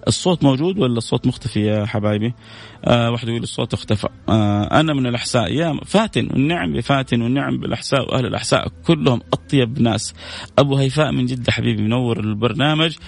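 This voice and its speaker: A man with a Hijazi Saudi accent and a creaky voice, hosting a casual religious radio chat and addressing listeners affectionately with endearments and blessings. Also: medium pitch, racing. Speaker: radio show host.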